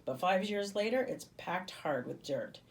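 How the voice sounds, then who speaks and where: Low-voiced woman reading a children's book expressively. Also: animated, measured pace, distant mic. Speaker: teacher reading aloud.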